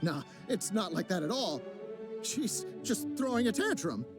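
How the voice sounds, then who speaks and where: Placating young boyfriend, game role: nervous, defensive, lively intonation, music bed. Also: male voice, high voice, measured pace. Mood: flustered. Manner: pleading, defensive. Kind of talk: video game voice acting. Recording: game audio with background music.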